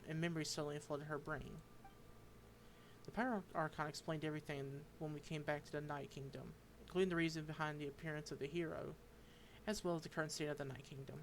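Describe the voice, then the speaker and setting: A man streaming a game, reading its dialogue aloud and commenting, halting and stumbling over unfamiliar names. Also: fairly high pitch, slight background noise.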